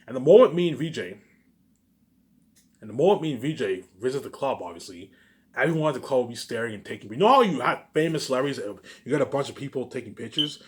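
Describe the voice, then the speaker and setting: Male vlogger, rapid informal delivery, mid-pitched, self-aggrandizing, loops back on himself. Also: animated. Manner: repetitive, circling back over the same phrases. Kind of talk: rambling informal monologue to viewers.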